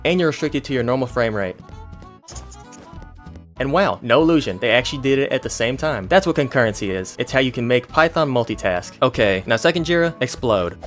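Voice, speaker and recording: A man narrating a programming tutorial in a casual, scripted way with a playful, wry, self-deprecating manner. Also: medium pitch. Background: background music.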